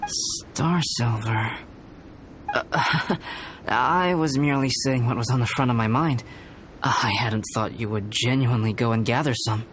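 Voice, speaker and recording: An actor playing a composed, aloof young man in a video game, speaking in a measured, formal and philosophical manner. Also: mid-range voice, background noise, natural voice. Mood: calm. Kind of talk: video game character acting.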